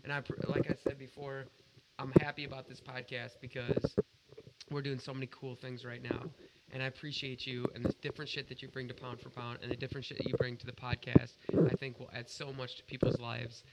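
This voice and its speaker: A man's voice: medium pitch, level tone, fairly quick, creaky, very noisy. A man co-hosting a casual podcast, talking earnestly and emphatically with plenty of swearing. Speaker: podcast co-host.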